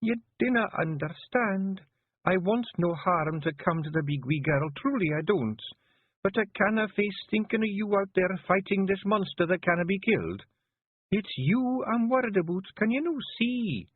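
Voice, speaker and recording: A man voicing a tiny, fierce fairy clan matriarch with a broad Scottish accent and a sharp, commanding delivery. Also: high-pitched, animated, normal speed, breathy edge, no echo, voice pushed up. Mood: pleading. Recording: phone-like narrow band.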